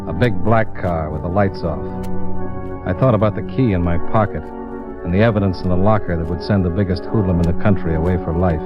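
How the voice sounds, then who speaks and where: An actor playing a hard-boiled, wisecracking American investigator in a 1950s radio drama, clipped and dry. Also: male voice, on the low side, close to an everyday voice. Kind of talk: 1950s radio detective drama acting and narration. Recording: dull old radio recording, music underneath.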